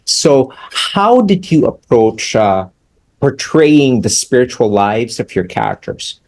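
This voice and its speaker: A man hosting a book interview, asking halting questions with fillers. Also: mid-range voice, big pitch swings, rough recording. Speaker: interview host.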